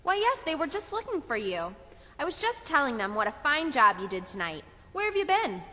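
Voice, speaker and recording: A woman plays a gushing, prim schoolteacher in a puppet show. Her voice is high and animated, carrying through a reverberant hall. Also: brisk, heavily acted. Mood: indulgent. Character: gushing, chatty female church children's teacher. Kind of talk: children's Christmas puppet show.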